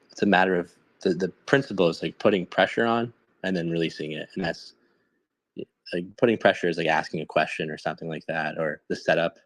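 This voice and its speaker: Young man, very deep voice, dry close sound, thoughtful and hesitant. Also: dry recording. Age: young adult. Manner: thoughtful, searches for words. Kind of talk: casual chat.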